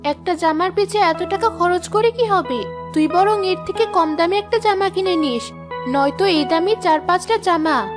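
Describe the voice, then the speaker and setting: A woman's voice: extremely high pitch, moderate pace. An actress playing a worried, blunt mother from a poor village family in a cartoon. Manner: blunt, fretful. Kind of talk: cartoon voice acting. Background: background music.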